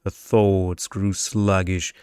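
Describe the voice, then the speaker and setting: Male ASMR narrator needed: smooth low tone, unhurried measured reading of a bedtime story, very dry sound. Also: level tone, a little mellow, dry recording.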